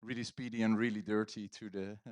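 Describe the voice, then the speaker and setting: A man's voice: on the low side, little pitch movement, a little fast, dry recording. A man hosting a conference on stage, casually bantering and teasing the audience and his guest into a microphone. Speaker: conference host. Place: conference stage at live event. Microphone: handheld stage microphone.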